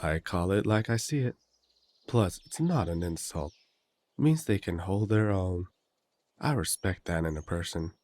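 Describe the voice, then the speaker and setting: A man's voice: low-pitched, lively intonation, unhurried, darkish tone, dry recording. A man playing a cheery, blunt country werewolf big brother in an immersive audio drama, with a folksy, teasing, hearty way of talking.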